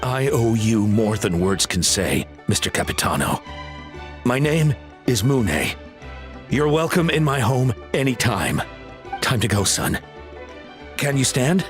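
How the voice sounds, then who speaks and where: A man's voice: mid-range voice, very animated, a little slow, pauses often, faint music behind. Earnest, devoted father. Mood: grateful. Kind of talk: scripted video game voice acting.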